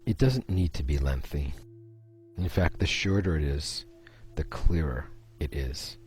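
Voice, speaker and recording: A man leading a guided meditation, speaking in a slow, soothing way with pauses between phrases. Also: very deep voice, darkish tone.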